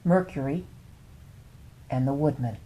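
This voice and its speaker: Slow, mellow woman reading bedtime fables. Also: rumbling low. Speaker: storyteller, host of a bedtime story series.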